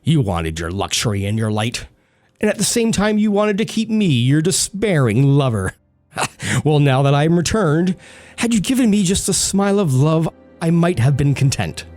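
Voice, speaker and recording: An actor playing a tormented, vengeful spurned lover, bitter and mocking, in intense radio melodrama. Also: male voice, on the high side, very animated, normal speed, a little mellow, faint music behind. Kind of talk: melodramatic old-time radio drama acting.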